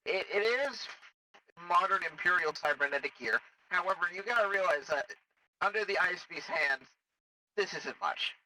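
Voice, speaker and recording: A man's voice: high-pitched, lively intonation, normal speed, pushed and bright, recorded from a distance, slight background noise, heavily acted, rough recording. A man playing a worried, cautious technician in a sci-fi game role-play, explaining things hesitantly. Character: worried, cautious technician analysing cybernetic implants.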